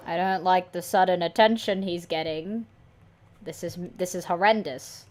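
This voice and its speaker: A young woman giving excitable, mock-dramatic, gushing gameplay commentary. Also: fairly low pitch, moderate pace. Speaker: game streamer. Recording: noisy mic with game sound. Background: game audio.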